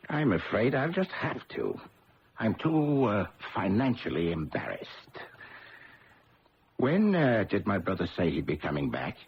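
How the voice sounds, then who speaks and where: A shifty, sardonic man in an old radio mystery. The voice is low and creaky, with slow, theatrical, hesitant phrasing that swings widely in pitch. The recording is muffled and noisy. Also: very animated. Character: shifty, sardonic male twin, short of money. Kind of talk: 1970s radio drama acting.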